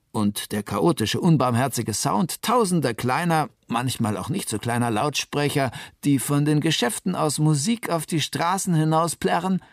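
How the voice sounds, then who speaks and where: A man's voice: mid-range voice, dry recording, clean studio sound. A man voicing a thoughtful, optimistic eyewitness in a scripted documentary radio play. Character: thoughtful male eyewitness in Japan, self-declared optimist.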